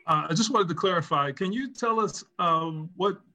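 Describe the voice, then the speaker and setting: A man's voice: sits high, moderate pace, bright, forceful tone, soft background music. A man, a sports reporter, asking a question at a press conference in a hesitant way, searching for words.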